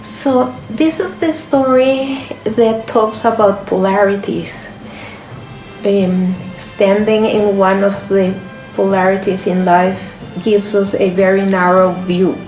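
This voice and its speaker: A woman author reflectively presenting her own book, speaking in an earnest, deliberate, measured manner. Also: medium pitch, fairly even intonation, a little mellow. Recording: roomy echo, music behind.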